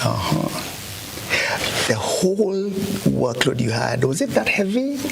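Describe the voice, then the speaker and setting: A man's voice: medium pitch, slow, vocal fry. A man, hosting a news show and interviewing a pupil in a playful, teasing way. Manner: playful, teasing, animated questioning. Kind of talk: casual on-the-spot interview. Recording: noisy background ambience.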